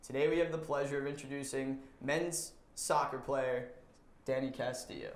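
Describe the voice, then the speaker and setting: A man's voice: mid-range voice, steady tone, normal speed, reverberant. A young man hosting a student sports interview show, asking friendly, brief questions. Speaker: host.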